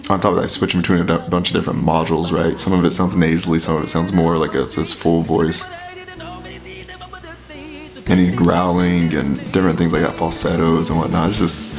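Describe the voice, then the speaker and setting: Deep-voiced guy breaking down a song he's reacting to, gravelly, music going underneath. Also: flat delivery, fairly quick. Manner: casual explanatory, filler-heavy. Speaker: reaction video host. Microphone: headphones with mic. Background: music playing.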